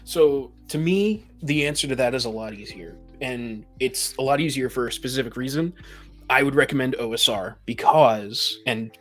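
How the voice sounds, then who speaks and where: A man of average pitch talks as a podcast panelist. He sounds assertive and explains his opinions in a conversational style. Quiet music runs underneath. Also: moderate pace. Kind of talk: casual opinionated discussion.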